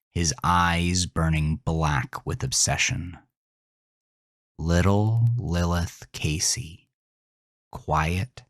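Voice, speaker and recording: A man's voice: rumbling low, no echo, studio quality. A man narrating a horror story with brooding intensity.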